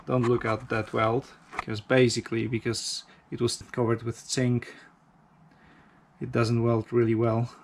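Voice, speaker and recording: Slow-talking man with a gravelly creak. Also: medium pitch, monotone, slight background noise. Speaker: motorcycle hobbyist, video host. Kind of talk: casual DIY vlog narration.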